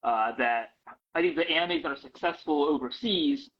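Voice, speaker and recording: A man's voice: a little high, normal speed, muffled recording, slight background noise. A man answering interview questions in a loose, casual way, full of fillers and restarts. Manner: hesitant, many fillers and restarts. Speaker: guest.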